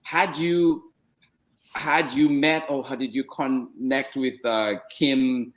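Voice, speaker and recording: A man's voice: medium pitch, steady tone, slow, poor audio. Rambling male story time host. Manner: rambling, filler-heavy questions. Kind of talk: casual interview.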